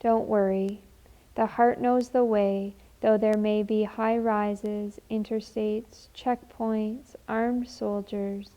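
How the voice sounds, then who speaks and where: Slow, even-toned woman guiding meditation. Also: mid-range voice, poor audio. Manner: gentle, measured, deliberate phrasing. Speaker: guided meditation leader. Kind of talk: slow, soothing guided meditation and poem reading.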